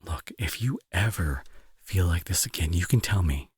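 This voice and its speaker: Husky low-voiced remorseful young bully, acted by a man. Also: expressive, normal speed, dry recording, studio quality, heavily acted. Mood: tender, reassuring. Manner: soft, hesitant, earnest, reassuring. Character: remorseful young male bully turned tender, anxious caretaker. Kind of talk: intimate roleplay audio drama.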